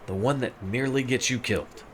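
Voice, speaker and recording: A man's voice: mid-range voice, brisk, a little hiss or hum, voice pushed up, close to an everyday voice. A man playing a weary, brooding constable in a fantasy audio story, terse and dryly sarcastic.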